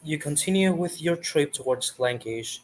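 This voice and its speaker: A man narrating a tabletop roleplaying game as game master, in a halting, hesitant way. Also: a little high, animated, a little hiss or hum.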